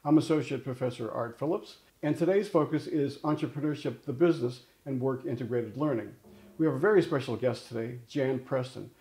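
A man's voice: medium pitch, brisk, mellow. A middle-aged man hosting a studio interview, with a polished, scripted introduction. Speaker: host. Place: indoor studio with framed awards.

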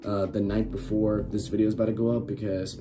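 A young man with a raspy, worn-out voice, chatting casually as host of a reaction video, filling his talk with "like" and laughing often. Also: on the low side, flat delivery, fast talker, music in the background. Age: young adult. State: raspy, losing his voice from shouting. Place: small bedroom with LED lights.

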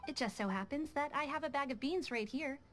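A woman playing a cool young female detective in a video game, composed, measured and matter-of-fact. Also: sits high, heavy background noise, lightly acted. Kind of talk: video game character dialogue.

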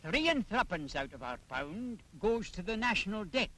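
Lilting, high-voiced Scottish explainer. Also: male voice, sing-song, moderate pace, pushed and bright, full character voice. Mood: patiently explaining. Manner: didactic, emphatic, theatrical. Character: knowing, lecturing Scottish man explaining taxes simply. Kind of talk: 1940s public information film acting. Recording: 1940s film soundtrack.

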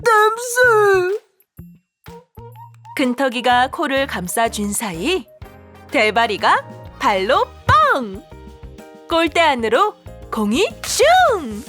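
A woman narrating a scripted storybook for young children in an animated, expressive manner. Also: high-pitched, takes it slowly. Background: children's background music.

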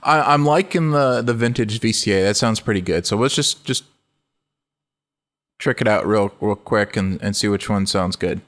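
A middle-aged man with a low voice hosting a casual music-production walkthrough, relaxed and rambling, often correcting himself mid-sentence. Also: normal speed. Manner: relaxed, rambling, self-correcting, laughs easily. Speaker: music production tutorial host. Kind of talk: casual screen-recorded walkthrough.

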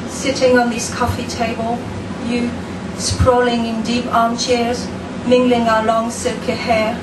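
Slow-reading woman poet, distant and echoey. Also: on the high side. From France. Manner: slow, deliberate, measured reading. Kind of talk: poetry reading. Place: dim room with a lamp, indoors. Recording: echoey room, distant mic, background noise.

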